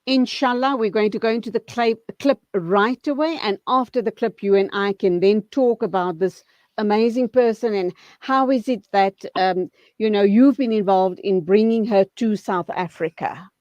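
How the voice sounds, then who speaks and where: A woman's voice: medium pitch, normal speed, a little mellow, no echo. A woman hosting live radio, introducing and reacting to guests with somewhat hesitant phrasing. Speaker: radio host. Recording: slightly dull lo-fi broadcast.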